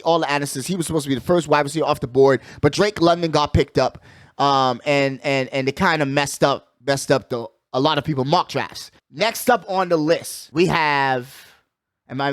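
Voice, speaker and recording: A man's voice: on the high side, no echo, studio quality. A man hosting a sports show, talking casually with strong opinions in a slangy, emphatic, conversational way, often repeating his phrases.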